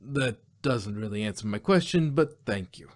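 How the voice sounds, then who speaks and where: A man voicing a patient, mild-mannered kitchen manager in a story, measured and mildly dry. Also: lowish voice, lively intonation, close to an everyday voice.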